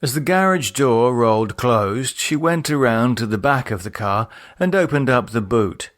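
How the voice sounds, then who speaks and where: A man's voice: mid-range voice, lively intonation, moderate pace, fairly bright tone, no echo, clean studio sound. A man giving a scripted, dramatic narration of fiction as an audiobook reader.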